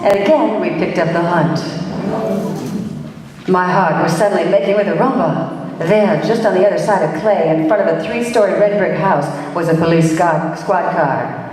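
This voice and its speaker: A woman playing a brisk, wry, wisecracking female private detective who narrates her case in slangy old-time radio play style. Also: fairly low pitch, darkish tone, a little hiss or hum, close to an everyday voice. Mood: wry narrating. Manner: hard-boiled, wry, slangy. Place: live stage performance in a large room. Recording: echoey hall, recorded from far off.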